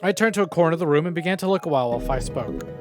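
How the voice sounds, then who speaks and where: A man with a fairly high voice plays through a visual novel on stream. He reads dialogue theatrically and jokes along, with loud music behind him. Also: animated, racing. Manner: playful, joking, theatrical when reading. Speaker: game streamer. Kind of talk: live visual novel playthrough, reading dialogue aloud and commenting.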